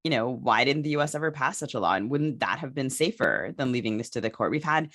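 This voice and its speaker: A man hosting a podcast interview, laying out questions in a measured, methodical way. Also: medium pitch, expressive, fairly bright tone. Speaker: podcast host asking questions.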